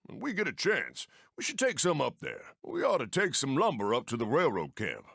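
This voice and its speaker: A friendly frontier railroad engineer from a video game, with an American accent and a folksy, colloquial way of talking. His pitch is mid-range and swings very widely as he talks. The recording is dry and close, with no room sound. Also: wildly swooping pitch, normal speed, gravelly, fairly bright tone, dry recording, heavily acted. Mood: helpful, earnest. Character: friendly folksy Old West steam train engineer. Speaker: mission-giver game character. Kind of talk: animated video game voice acting.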